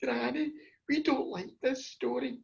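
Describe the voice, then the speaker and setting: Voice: high voice, fairly even intonation, unhurried, vocal fry, far from the mic, dull audio, pitched above the performer's own voice, heavily acted. A storyteller voicing nervous young Scottish children who timidly plead during a scary bedtime story. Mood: frightened.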